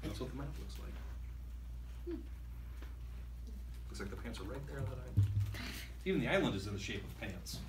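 A man in his thirties reads a picture book aloud to young children in an expressive, playful way, with chatty asides. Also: medium pitch, moderate pace, long pauses, distant mic. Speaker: guest reader for a class of young children. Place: school classroom, standing before seated children. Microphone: distant camera microphone. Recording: echoey classroom from afar, faint noise.

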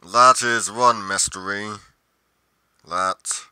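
Man playing a slow, brooding monster investigator. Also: fairly low pitch, steady tone, sharp tone, smooth, no room sound, full character voice. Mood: brooding, musing. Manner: slow, deliberate, pausing mid-sentence, musing. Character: brooding, solitary male monster investigator musing on mysteries. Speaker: roleplay performer. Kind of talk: dramatic roleplay monologue.

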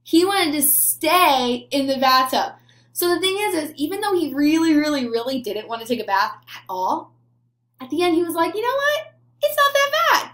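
A young woman, a teacher, explaining a story to small children in simple, expressive talk. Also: high-pitched, moderate pace, reverberant. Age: young adult. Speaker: elementary school teacher. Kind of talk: read-aloud discussion addressed to young children. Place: small plain room indoors.

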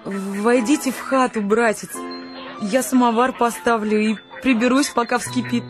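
An actress playing a shy, simple young village woman in a radio play, meek and halting in her speech. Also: fairly high pitch, fairly even intonation, over music, natural voice. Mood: welcoming.

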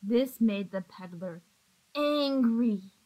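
A woman in her thirties reading a children's picture book aloud in an expressive, deliberate manner, recorded indoors at arm's length from a computer mic. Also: on the high side, poor audio. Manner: expressive, deliberate, asks the young listeners questions. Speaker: storybook reader. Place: small indoor room with block walls. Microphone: webcam or laptop at arm's length.

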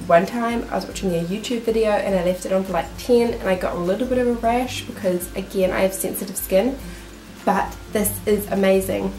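A woman's voice: mid-range voice, a little fast, a little mellow, soft background music. Young kiwi woman with a cold, nasal, chatty beauty vlog talk, echoey little room. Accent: New Zealand. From New Zealand. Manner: chatty and enthusiastic, shows products to camera. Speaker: beauty vlogger. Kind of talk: casual product review talk. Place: small room indoors, seated. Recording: roomy echo.

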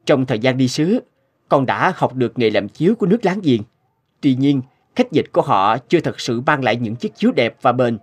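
An actor playing an earnest, decisive young scholar-official in a cartoon, resolute and respectful. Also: male voice, mid-range voice, expressive, brisk, slightly rough.

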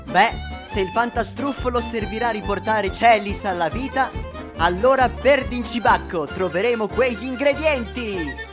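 A woman's voice: a little high, normal speed, natural voice. A woman voicing a boyish, earnest little cup hero in a cartoon fan dub, speaking emphatically. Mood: eager, determined. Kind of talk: theatrical cartoon dubbing. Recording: music behind the voice.

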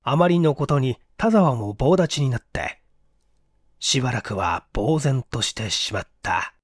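A man's voice: mid-range voice, animated, measured pace, husky, no echo, clean studio sound. A man reading a mystery story as dramatic, suspenseful, theatrical audiobook narration. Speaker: audiobook reader.

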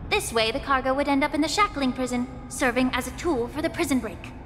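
A poised young alchemist with a slightly high voice, thinking aloud in careful, measured sentences. Her lines ring with a long reverb, and game music plays clearly behind her. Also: fairly bright tone. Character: poised young woman alchemist, calm and thoughtful. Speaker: game character. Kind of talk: dubbed game dialogue.

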